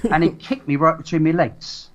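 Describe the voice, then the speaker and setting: Midlands man guest, rambling storyteller, slightly high voice, dull lo-fi line. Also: little pitch movement, moderate pace. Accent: English Midlands. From English Midlands. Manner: chatty storyteller, full of restarts and asides. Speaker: guest impressionist. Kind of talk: casual radio interview chat.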